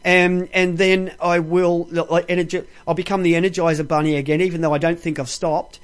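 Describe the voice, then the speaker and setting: Guy hosting a live show, pretty high voice, rambles on, swears casually. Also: level tone, a little fast, smooth. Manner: talkative, coarse-mouthed. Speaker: show host.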